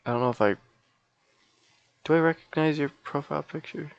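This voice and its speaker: A man's voice: a little high, a little fast, vocal fry, dull audio. A sleepy man chatting casually and in a rambling, folksy way as he live-streams a game.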